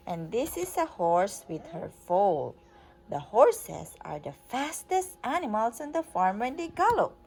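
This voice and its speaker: A woman's voice: medium pitch, very animated, unhurried, vocal fry, a little mellow, breathy edge. A woman reading a children's book aloud as a mother to a young child, in a sing-song, coaxing way with simple questions. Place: at home.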